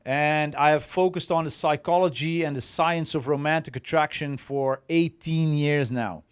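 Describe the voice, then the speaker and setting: A middle-aged man with a Dutch accent presenting as a coach, explaining things to viewers and often pausing to search for words. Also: on the high side, fairly even intonation, smooth. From Netherlands. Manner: halting, word-searching, self-correcting delivery. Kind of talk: explanatory online talk to viewers.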